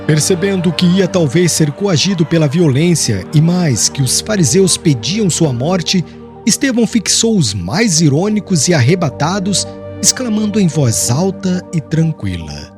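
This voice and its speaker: Audiobook narrator, male, Brazilian accent: solemn and theatrical, higher-pitched with lively swings, clear music underneath. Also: a little slow. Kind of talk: dramatic scripted literary narration. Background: background music.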